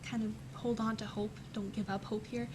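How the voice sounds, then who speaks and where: A young woman student on a discussion panel, thinking aloud tentatively with pauses. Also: level tone. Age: teens to young adult. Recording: clear background noise and rumble.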